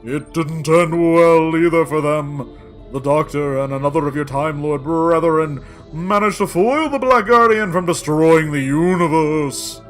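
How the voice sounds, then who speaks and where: A man performs a solemn, grandiose guardian of the cosmos for a sci-fi audio drama. The voice is fairly high for a male and moves slowly, stretching key words theatrically. Faint music sits behind it. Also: lightly acted. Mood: solemn, foreboding. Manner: portentous, theatrical, drawn-out phrases. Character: solemn male cosmic guardian, grandiose pan-dimensional being.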